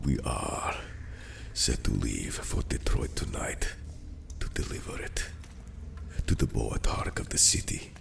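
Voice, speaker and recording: A man voicing a wounded, proud vampire in an audio drama, with a slow, halting, half-whispered rasp. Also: extremely low pitch, exaggerated voice. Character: wounded, proud, defiant male vampire creature. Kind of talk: dramatized audio fiction dialogue.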